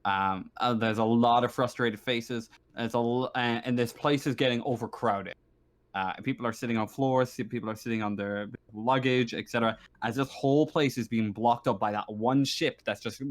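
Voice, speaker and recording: A man's voice: medium pitch, steady tone, moderate pace. A man improvising narration as a tabletop game master, hesitantly, often stumbling and repeating words.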